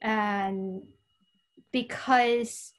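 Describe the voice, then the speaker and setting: A woman from China with a Chinese accent and Cantonese as her first language, answering as a podcast guest in a halting, explanatory, conversational way. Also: fairly high pitch, fairly even intonation.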